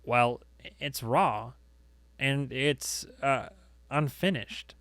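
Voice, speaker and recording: A man voicing a nervous male plant worker in an audiobook, hesitant and quiet. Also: mid-range voice, measured pace, no room sound, studio quality. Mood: nervous, evasive. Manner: hesitant, meek, barely audible. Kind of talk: audiobook character acting.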